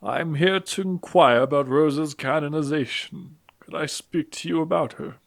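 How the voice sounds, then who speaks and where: A man's voice: fairly high pitch, moderate pace, vocal fry. A man playing a courteous, solemn priest who conducts interviews in a religious audio drama. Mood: courteous, grave.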